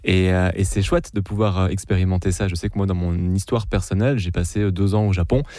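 Calm low-voiced male interviewer. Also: fast, no room sound, clean studio sound. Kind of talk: interview questions.

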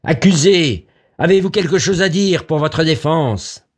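A man voicing an inhuman, accusing voice from a gramophone record, high, clear and penetrating, in slow, solemn, formal proclamation. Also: animated. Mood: cold, accusing.

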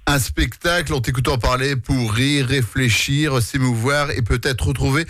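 A man interviewing a guest on the radio in a measured, familiar way. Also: medium pitch, steady tone, fairly bright tone, no echo, clean studio sound.

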